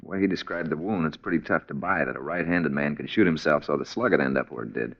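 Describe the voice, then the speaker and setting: A man's voice: very deep voice, fast, gravelly, slightly rough, full character voice. An American man playing a dry, laconic police forensic expert in a 1950s radio drama. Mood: dry, analytical. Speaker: crime lab technician. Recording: muffled 1950s radio recording.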